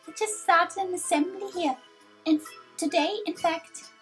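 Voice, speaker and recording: A woman's voice: very high-pitched, normal speed, soft background music, voice pushed up. A woman voicing a cheerful, helpful little chime creature in a video game, polite and chirpy.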